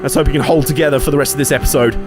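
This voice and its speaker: A man with a slightly hoarse voice from a cold, casually commentating his own racing game with jokes and swearing. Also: mid-range voice, fairly even intonation, very fast, music in the background. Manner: chatty, jokey, sweary, reacting aloud to the race. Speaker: gaming channel host.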